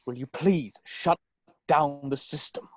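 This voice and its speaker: A man's voice: fairly high pitch, takes it slowly. A man playing a genteel, grandfatherly park owner with courtly, formal phrasing in an amateur screenplay table read. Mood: restrained.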